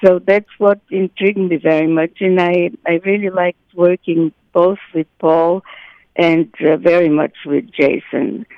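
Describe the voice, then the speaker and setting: A woman, an actress, chatting as an interview guest in an animated way, often restarting her phrases. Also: fairly low pitch, a little slow, no room sound, muffled recording. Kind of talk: interview chat.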